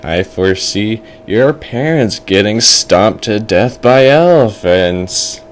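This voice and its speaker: A man voicing a kooky, sinister old fortune teller in a told story, making drawn-out, ominous pronouncements with false kindliness. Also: fairly low pitch, lively intonation, a little hiss or hum. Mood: ominous, menacing.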